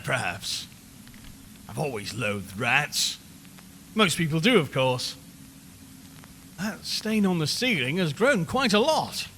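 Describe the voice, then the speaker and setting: A man's voice: high voice, pushed and bright, full character voice. Man acting a jittery radio reporter, cavernous reverb. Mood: uneasy. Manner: chatty, wry, increasingly uneasy. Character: male radio reporter broadcasting nervously from a haunted house. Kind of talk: dramatised live radio commentary. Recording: long reverberant hall, background noise.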